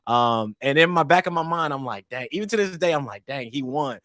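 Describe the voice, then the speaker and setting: A young man from East St. Louis, talking loose and easygoing as an interview guest. He keeps circling back, restarting sentences and dropping "man" every few lines. His voice sits at an everyday pitch and sounds close and dry, with no room around it. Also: fairly quick, no echo. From East St. Louis, Illinois. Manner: relaxed, rambling, peppered with "man" and restarts. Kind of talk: casual interview storytelling.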